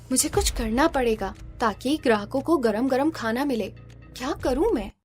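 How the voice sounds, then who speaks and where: An earnest, caring restaurant woman from a cartoon story, acted by a woman. Her voice sits a bit high, with music underneath. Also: expressive, moderate pace, darkish tone. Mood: worried. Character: hardworking, caring young woman running a roadside restaurant. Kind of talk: scripted cartoon dialogue.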